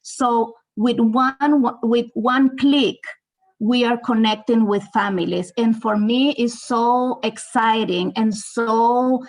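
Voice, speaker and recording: A woman's voice: fairly high pitch, steady tone, slow, rough recording. A woman from El Salvador with a Salvadoran Spanish accent, speaking earnestly and encouragingly on an online panel, with many fillers and restarts. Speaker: multilingual services staff.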